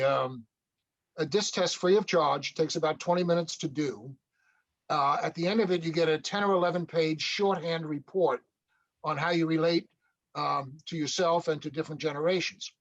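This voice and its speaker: Higher-voiced man on dull call audio. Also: normal speed. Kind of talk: informal explanation in an online meeting. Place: online group meeting.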